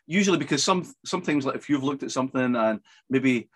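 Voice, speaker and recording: A man co-hosting a casual business podcast, relaxed and agreeable, with a fondness for old sayings. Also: mid-range voice, fast, breathy edge. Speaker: podcast co-host.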